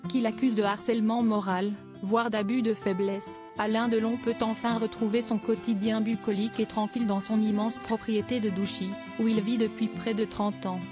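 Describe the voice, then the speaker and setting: Flat-toned female news narrator over music. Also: a little high, moderate pace, few pauses. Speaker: narrator of a celebrity news video. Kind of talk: scripted narration. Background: background music.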